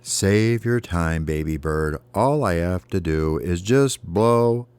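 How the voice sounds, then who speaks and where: A man's voice: low-pitched, expressive, takes it slowly, darkish tone, smooth, no echo. A man voicing a menacing, mocking dragon in a children's story, drawing out his taunts. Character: menacing, mocking male dragon who turns pleading.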